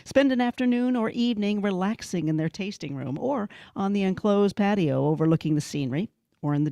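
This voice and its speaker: A woman's voice: low voice, dark tone, no room sound. A woman with an American accent announcing a vintage-style radio drama and reading a sponsor message from a script.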